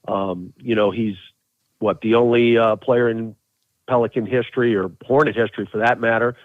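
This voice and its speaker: A man's voice: medium pitch, steady tone, moderate pace, no room sound. Phone-line man talking sports, emphatic. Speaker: radio play-by-play announcer, podcast guest. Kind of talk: casual sports analysis on a podcast. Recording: thin, narrow phone-like line.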